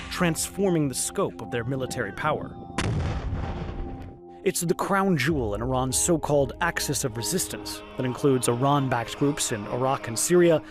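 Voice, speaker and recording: A man narrating a scripted news explainer as a correspondent. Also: fairly high pitch.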